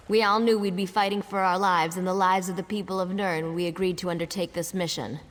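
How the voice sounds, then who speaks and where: An actress playing a steadfast, stoic adventurer in a fantasy video game. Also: female voice, fairly low pitch, steady tone, fast talker, close to an everyday voice. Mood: resolute. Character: steadfast, stoic female fighter for the cause. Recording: long hall reverb, faint noise.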